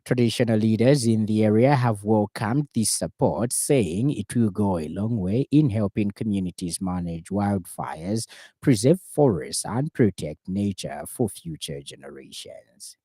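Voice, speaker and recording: Low, mellow Zambian newsreader man. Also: expressive, no echo, studio quality. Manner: formal, measured announcing. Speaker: radio news anchor. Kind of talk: scripted news bulletin reading. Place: radio studio.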